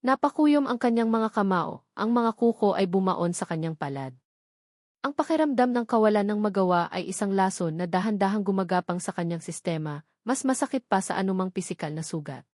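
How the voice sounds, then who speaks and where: A woman's voice: mid-range voice, expressive, a little fast, darkish tone, dry recording, clean studio sound. A woman with a Filipino accent reading a scripted dramatic story as its narrator.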